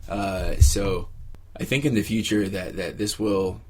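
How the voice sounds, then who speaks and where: A man giving casual, reflective interview answers, haltingly searching for his words. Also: on the low side, darkish tone. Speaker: interviewee, exercise participant.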